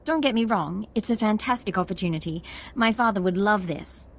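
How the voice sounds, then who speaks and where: An actress playing an earnest young ensign in a video game, polite and dutiful in manner. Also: female voice, mid-range voice, brisk, lightly acted. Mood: surprised, eager. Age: young adult. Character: earnest, eager young female military ensign.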